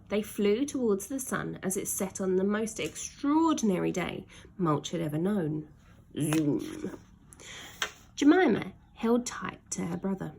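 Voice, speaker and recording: A woman reading a children's book aloud as an expressive storyteller. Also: medium pitch, vocal fry, slight background noise.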